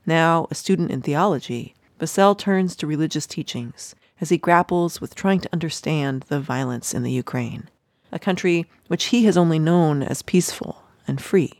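A woman's voice: deep voice, darkish tone, dry recording. Woman host reading a dry studio intro. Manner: measured, read-aloud introduction. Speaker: podcast host. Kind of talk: scripted narration.

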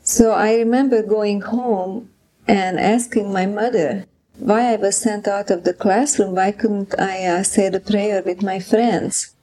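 Interviewee, woman in her eighties, medium pitch, measured and reflective delivery. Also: steady tone, mellow, rough recording. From Czechoslovakia. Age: 80s. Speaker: interviewee, Holocaust survivor. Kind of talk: recounting memories in an interview.

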